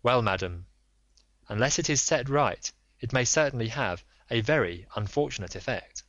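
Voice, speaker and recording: A man voicing a reserved Victorian male detective who speaks in a measured, formal and guarded way. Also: medium pitch, lively intonation, a bit bright, no room sound, natural voice. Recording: dull lo-fi dry recording.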